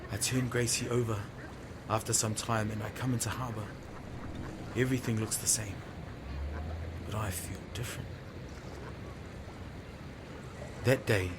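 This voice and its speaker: A man's voice: medium pitch, heavy background noise, close to an everyday voice. A man playing a time-travelling fisherman who tells his story in the first person, theatrical and anguished, in an audio drama. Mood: subdued, resolute. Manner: theatrical, swings from breathy murmur to anguished shouting.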